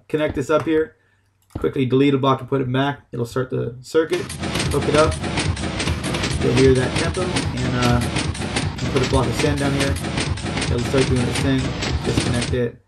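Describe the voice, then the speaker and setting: A man's voice: medium pitch, fairly even intonation, moderate pace, creaky, very noisy. A man giving a casual, unscripted game tutorial, explaining things in a loose, hesitant way. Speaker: gaming tutorial host.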